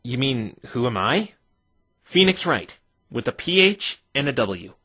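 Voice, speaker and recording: A man playing an earnest, flustered young defence lawyer in a visual novel fan dub, expressive and incredulous. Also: mid-range voice, measured pace. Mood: puzzled.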